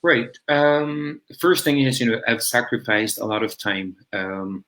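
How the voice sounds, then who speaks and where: A man's voice: mid-range voice, measured pace, fairly bright tone. A man, telling his own story reflectively and conversationally as an interview guest. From Philippines. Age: 30s. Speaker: interview guest, business coach. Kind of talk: personal storytelling in a livestream interview.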